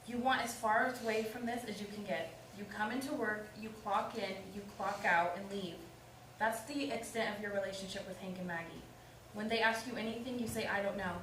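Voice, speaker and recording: A woman's voice: mid-range voice, steady tone, brisk, a bit bright, close to an everyday voice. A woman playing a firm, shrewd, protective character in a staged play reading, blunt and commanding. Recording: echoey room, distant mic, faint noise.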